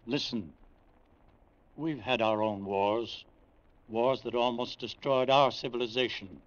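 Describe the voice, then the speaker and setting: An actor playing a grave alien authority in an old radio drama, giving solemn, emphatic, deliberate warnings. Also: male voice, medium pitch, close to an everyday voice. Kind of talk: 1950s radio science fiction drama acting. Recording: dull old radio recording.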